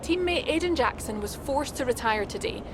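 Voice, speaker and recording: Female sports reporter, polished and probing, high voice, game interview scene, noisy outdoors. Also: animated, moderate pace. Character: brisk, probing female TV pit-lane reporter. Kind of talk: interview in video game cutscene.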